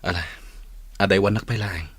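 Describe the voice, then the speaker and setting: A man's voice: low voice, steady tone, normal speed, a bit bright, husky. A man playing a busy, work-focused young villager in an Ilocano radio drama, with an Ilocano accent. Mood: preoccupied.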